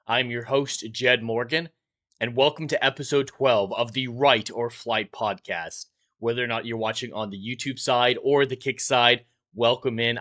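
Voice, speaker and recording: A man's voice: medium pitch, bright, forceful tone. A man in his twenties hosting a livestream podcast, chatting to viewers in an earnest, welcoming, announcer-like way.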